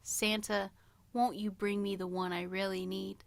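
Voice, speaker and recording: A woman's voice: mid-range voice, level tone, measured pace, gravelly, no room sound. A woman co-hosting a podcast, chatting casually in an informal, playful, slangy way. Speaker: podcast co-host. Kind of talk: casual banter and song guessing game.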